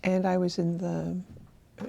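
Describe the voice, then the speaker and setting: An older woman from El Salvador, raised in San Francisco, answers interview questions in an unhurried, halting way. Her low, dark voice is heavily gravelly and a little husky. She often stops to restart her sentences. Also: monotone. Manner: thoughtful, halting, many fillers and restarts. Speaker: interviewee, religious sister. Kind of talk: oral history interview.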